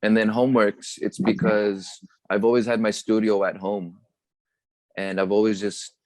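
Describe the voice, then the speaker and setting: A young man with a low, deep voice and an American accent chats easily over a video call, dropping bits of Tagalog into his English. The sound is dry and close, with little room echo. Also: moderate pace. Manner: relaxed, mixes Tagalog phrases into English. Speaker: guest performer and entrepreneur. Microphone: video call webcam.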